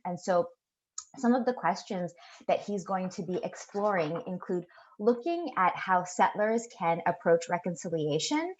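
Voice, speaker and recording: A woman hosting a webinar, introducing the speaker in a steady way with occasional fillers. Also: lowish voice, lo-fi sound. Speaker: webinar host.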